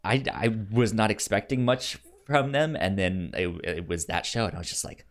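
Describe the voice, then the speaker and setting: A man co-hosting a film podcast in casual chat, haltingly repeating words as he thinks. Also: fairly low pitch, animated.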